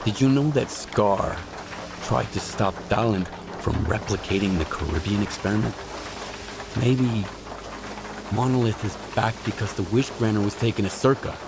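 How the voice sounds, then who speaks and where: An actor playing a weary, cynical ex-marine with a dry, terse manner in a game cutscene. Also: male voice, fairly low pitch, moderate pace, noisy background, close to an everyday voice. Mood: accusing. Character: weary, cynical male ex-marine stalker in a wasteland. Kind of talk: dramatic video game cutscene acting.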